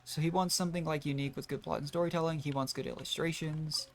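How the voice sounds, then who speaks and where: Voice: medium pitch, fairly even intonation, quick pace. A game streamer giving casual, chatty live commentary in a sceptical, analytical way.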